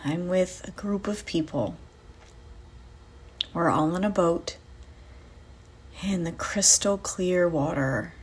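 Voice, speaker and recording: A woman leading a guided visualization in a soft, breathy, soothing voice, leaving long pauses between phrases. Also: on the low side, animated, a little hiss or hum, poor audio. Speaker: guided meditation host. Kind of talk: guided visualization meditation, first-person.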